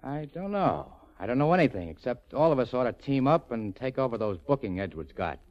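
A man's voice: mid-range voice, big pitch swings, fairly quick, natural voice. A man playing a sleazy, bullying but cowardly small-time tough guy, talking slangy and oily in 1940s radio drama style. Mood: oily, coaxing. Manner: slangy, oily, swaggering wise-guy talk. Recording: muffled 1940s radio recording.

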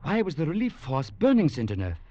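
An actor playing John, an earnest, weary young British gentleman legionnaire, in a mid-century radio adventure drama. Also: a little high, wildly swooping pitch, a little fast. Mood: tense. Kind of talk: 1940s-50s radio drama acting and first-person narration. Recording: muffled vintage radio recording, faint music.

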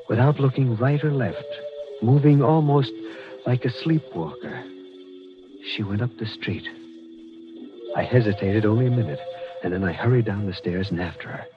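A man's voice: fairly low pitch, natural voice. An actor playing an earnest, impulsive young man who narrates and acts in a 1940s American radio mystery with intense, emphatic drama. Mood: brooding, tense. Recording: muffled 1940s radio recording with music.

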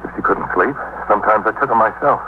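Actor as an anxious, very low-voiced husband. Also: male voice, fast talker, lightly acted. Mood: hesitant, evasive. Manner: hesitant, repetitive, pleading. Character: anxious, evasive husband fretting over his wife. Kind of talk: 1950s radio drama acting. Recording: tinny 1950s radio recording, faint noise.

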